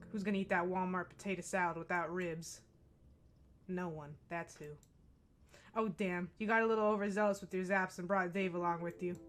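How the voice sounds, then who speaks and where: Woman, gentle texan accent, streamer reading game text, profane, joking, music behind. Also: lowish voice. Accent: mostly American with a gentle Texan accent. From Texas, US. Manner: profane, joking, laughs often. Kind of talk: reading a visual novel aloud on stream.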